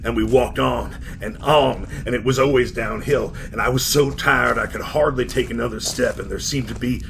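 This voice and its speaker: A man's voice: mid-range voice, steady tone, fairly bright tone. An actor playing a haunted, weary man recounting an eerie ordeal in old-time radio horror style. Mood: haunted, tense. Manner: slow, brooding first-person storytelling. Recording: clearly noisy radio drama recording.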